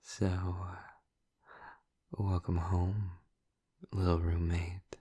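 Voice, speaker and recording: Man acting a husky, low-voiced gentle vampire roommate. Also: level tone, no room sound, clean studio sound, exaggerated voice. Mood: playful, fond. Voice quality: soft, breathy, husky. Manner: hesitant, halting, tender. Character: gentle, awkward, affectionate male vampire roommate. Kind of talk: intimate audio roleplay addressed to the listener. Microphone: close, dry microphone.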